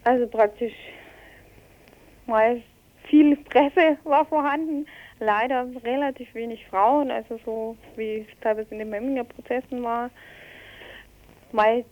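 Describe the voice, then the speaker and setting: A woman's voice: fairly high pitch, steady tone, a little slow, pauses often. A woman giving spontaneous, colloquial interview answers as an activist guest, explaining things step by step, on a dull recording. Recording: dull lo-fi interview, telephone-like sound.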